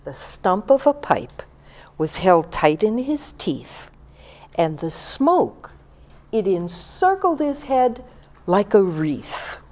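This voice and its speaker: Older woman storyteller, slow and very animated. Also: mid-range voice. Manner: theatrical, dropping to whispers and swelling for emphasis. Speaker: grandmother reading aloud to grandchildren. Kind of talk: expressive storytelling of a Christmas poem to small children.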